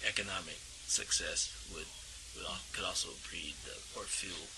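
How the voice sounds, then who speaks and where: A man interviewed as an expert in a documentary, speaking haltingly with many fillers and trailing into a near-whisper. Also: low voice, fairly even intonation. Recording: noisy interview audio.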